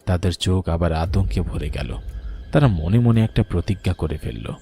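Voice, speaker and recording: A man's voice: low voice, lively intonation, normal speed, gravelly, mellow. A man giving dramatic, scripted narration of a ghost story.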